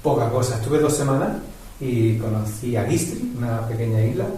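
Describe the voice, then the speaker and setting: A man's voice: medium pitch, lively intonation, normal speed, mellow, slight background noise. Affirming male interviewer in an echoey room. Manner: affirming, enthusiastic backchannels. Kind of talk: casual interview chat. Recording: distant mic with heavy echo.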